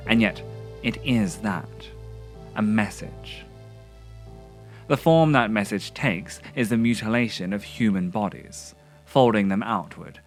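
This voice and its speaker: A man narrating a scripted video essay in a contemplative, literary manner with theatrical phrasing. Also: fairly low pitch. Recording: music behind the voice. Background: music.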